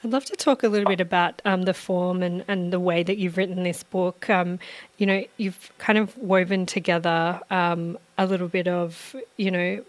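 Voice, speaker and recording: Flat, low-voiced woman radio host. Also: no room sound. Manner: halting, many fillers. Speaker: radio presenter. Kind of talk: radio interview.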